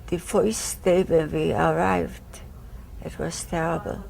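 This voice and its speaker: A woman in her eighties speaks in very slow, halting, broken English. Her voice is deeply gravelly and sits on the low side. Faint noise lies behind her. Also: little pitch movement, a little mellow, rough recording. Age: 80s. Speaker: Holocaust survivor interviewee. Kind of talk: recalled testimony.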